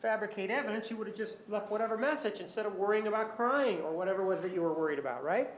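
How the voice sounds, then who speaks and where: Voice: mid-range voice, very fast. Pointed courtroom lawyer in an echoey room. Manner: pointed leading questions ending in short tags. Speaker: lawyer questioning witnesses. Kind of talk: courtroom cross-examination. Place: large indoor courtroom. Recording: echoey courtroom, faint background noise.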